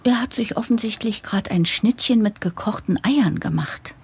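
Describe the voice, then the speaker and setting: A woman's voice: medium pitch, moderate pace, a little mellow, slightly rough. A woman playing a harried, idealistic new managing director who narrates an audio drama in a wry, confiding way. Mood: wry, weary. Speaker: narrator and lead character.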